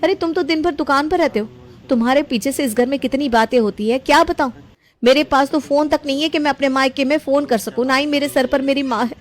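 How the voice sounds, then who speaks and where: A woman voicing a scheming, sharp-tongued young daughter-in-law who complains and manipulates in a story drama. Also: high-pitched, a little fast, pitched above the performer's own voice, natural voice. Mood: resentful.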